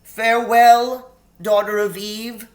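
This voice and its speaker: A timid, kind storybook faun, pleads in a voice pitched far up. He is weepy and full of guilt, stammering through his anguish. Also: level tone, takes it slowly. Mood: apologetic, tender.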